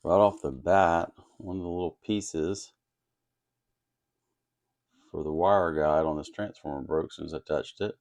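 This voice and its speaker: A man with a low voice and plenty of gravelly creak hosts an informal electronics tutorial. He explains things step by step in a plainspoken, folksy way, narrating the work as he goes. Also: measured pace, pauses often, a little mellow. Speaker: host of an electronics kit-building channel.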